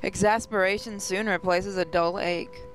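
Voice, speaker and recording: A woman's voice: mid-range voice, steady tone, moderate pace, low-quality recording. A woman hosting a gaming channel, reading a visual novel aloud with playful, sarcastic asides.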